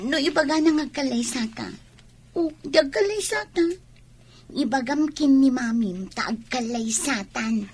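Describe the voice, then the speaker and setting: High-pitched actress as anxious young student. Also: female voice, expressive, unhurried, a little mellow, husky, exaggerated voice. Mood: worried. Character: anxious, scheming young pregnant student girlfriend. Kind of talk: Ilocano radio drama acting.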